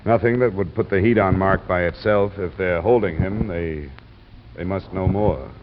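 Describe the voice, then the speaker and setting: Man playing a deep-voiced hard-boiled radio detective. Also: moderate pace, noisy background, lightly acted. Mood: probing, guarded. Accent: American. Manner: clipped, matter-of-fact. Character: hard-boiled male insurance investigator, dry and probing. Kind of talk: 1940s-50s radio detective acting and narration. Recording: muffled old radio recording with music.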